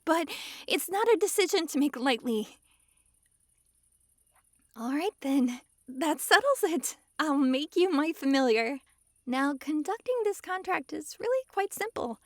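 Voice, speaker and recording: A woman's voice: very high-pitched, animated, measured pace, no room sound, clean studio sound. A woman playing a possessive, lovestruck fox-girl mage in an intimate ASMR roleplay, sweetly controlling and giggly. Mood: overjoyed. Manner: sweetly controlling, giggly, swings between gushing and hushed coaxing. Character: possessive, lovestruck young-sounding fox-girl mage, dominant yet giddy.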